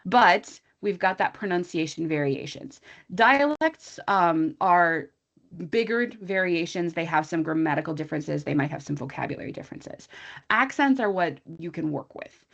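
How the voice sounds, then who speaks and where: A woman's voice: fairly low pitch, lively intonation, normal speed, creaky, a bit bright, dull audio. An American woman, an English teacher, giving an informal online training talk with a chatty, thinking-aloud manner and asides to her listeners. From USA. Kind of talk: conversational online presentation.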